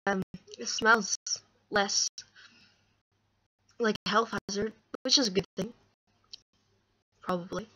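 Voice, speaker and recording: A woman's voice: medium pitch, fairly even intonation, slow, long pauses, sharp tone, husky, dry recording. A young woman with a crackly voice, chatting casually and rambling self-deprecatingly into a webcam up close. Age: young adult. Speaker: vlogger. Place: small dim room indoors. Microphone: webcam or laptop microphone up close.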